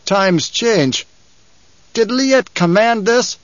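Man acting impatient tribesman, raised strained pitch, insistent protests, audiobook drama, slightly muffled. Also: expressive, very slow, no echo, heavily acted. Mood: impatient, challenging. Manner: urgent, protesting. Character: impatient, argumentative desert tribesman, hostile and insistent.

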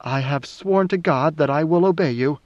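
A man voicing a heavy, muscular woman guard in an audiobook, guarded, blunt and devout, speaking deliberately and tersely. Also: darkish tone, lightly acted. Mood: dutiful, uneasy. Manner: deliberate, terse, low-voiced. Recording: roomy, slightly muffled recording.

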